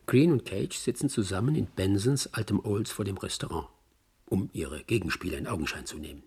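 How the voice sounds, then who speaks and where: An actor playing a sober, matter-of-fact male narrator in a scripted radio drama, his voice husky and raspy. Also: fairly low pitch, lively intonation, a little fast, a little mellow, exaggerated voice.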